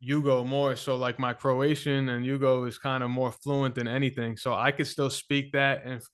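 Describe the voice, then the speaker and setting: A man's voice: mid-range voice, little pitch movement, moderate pace, clear, resonant tone. A man speaking as a podcast guest, laid-back and candid in casual talk. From United States. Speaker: guest musician.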